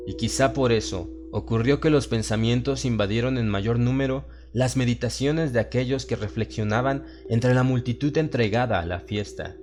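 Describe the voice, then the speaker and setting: A man with a Latin American Spanish accent narrating a horror story in a solemn, theatrical manner with dramatic pauses. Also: lowish voice. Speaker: audiobook narrator. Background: music and sound effects.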